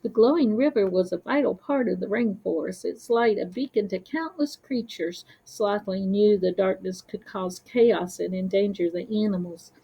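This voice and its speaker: A woman narrating a children's story as a read-aloud, careful and expressive. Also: medium pitch, normal speed, vocal fry, few pauses, slightly rough, poor audio.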